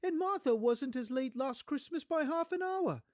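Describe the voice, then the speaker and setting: A man voicing an affectionate, excitable working-class mother who fusses and exclaims, in a storybook performance. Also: extremely high pitch, moderate pace, no room sound, clean studio sound, pitched above the performer's own voice. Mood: fussing, affectionate. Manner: fussing, exclamatory. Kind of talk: theatrical audiobook character acting.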